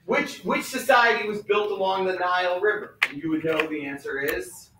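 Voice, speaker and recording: Male schoolteacher, high-pitched, informal explainer. Distant mic in a very echoey, noisy classroom. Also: moderate pace. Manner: informal, instructive. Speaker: school teacher. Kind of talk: classroom lesson, explaining and questioning.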